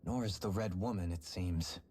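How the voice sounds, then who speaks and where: Low-voiced, grave male adventurer. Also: normal speed, darkish tone. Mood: grave, puzzled. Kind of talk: dramatic video game dialogue.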